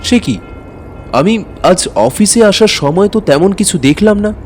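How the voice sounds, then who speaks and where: A man's voice: high-pitched, lively intonation, a little slow, a little mellow, a little hiss or hum. An actor playing a courteous, hesitant maths tutor, telling his own eerie story in an audio drama. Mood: uneasy, curious. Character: anxious, courteous male maths tutor recounting an eerie night. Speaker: narrator and lead character. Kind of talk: dramatic first-person ghost-story narration.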